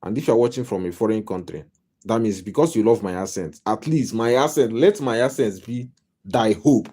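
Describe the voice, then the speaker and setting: A man's voice: fairly low pitch, moderate pace, dark tone. A man with a Nigerian accent giving a casual live-stream monologue as a life coach, rambling and repeating himself with wry humor. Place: home.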